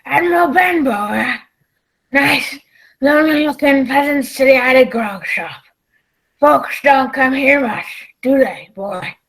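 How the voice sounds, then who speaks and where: A woman playing a gruff, bossy old pirate sea captain in a radio play, with a gravelly voice and salty, commanding sailor talk. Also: high-pitched, a little slow, fairly bright tone, low-quality recording. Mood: bossy, brusque.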